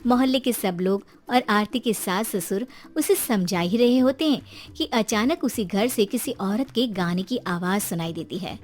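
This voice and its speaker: A woman's voice: mid-range voice, a little slow, soft background music. A woman narrating a scripted story in a dramatic storytelling style.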